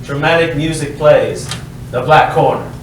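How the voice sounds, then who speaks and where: Male narrator for a staged reading, unhurried, slightly high, in a very reverberant hall. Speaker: stage directions reader. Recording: very echoey hall, distant mic, faint noise.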